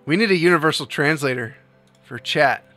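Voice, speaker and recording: A man's voice: fairly high pitch, fairly quick, pushed and bright. Chatty male streamer, faint music behind. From New Jersey. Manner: rambling, laughs often, reads chat aloud. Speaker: livestream host. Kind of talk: casual chat with live chat.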